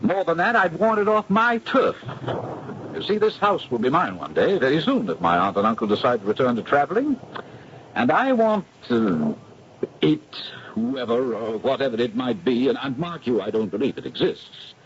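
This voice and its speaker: An actor playing a flippant young aristocratic skeptic with a breezy, mocking manner in a 1970s radio mystery. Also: male voice, medium pitch, very animated, moderate pace, close to an everyday voice. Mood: mocking, defiant. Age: young adult. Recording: muffled, noisy 1970s radio recording.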